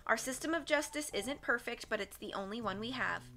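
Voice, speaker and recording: A woman's voice: fairly high pitch, expressive, brisk, sharp tone. A woman reading a stern female judge who presides over a trial, speaking formally and firmly.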